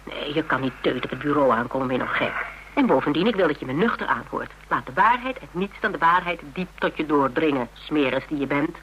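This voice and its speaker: A woman's voice: sits low, expressive, brisk, fairly bright tone, muffled recording. An actress playing a spirited, teasing woman in a radio drama, telling things playfully and dramatically with self-mockery. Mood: bossy, scolding. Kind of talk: Dutch radio drama dialogue. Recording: hummy, noisy old radio recording.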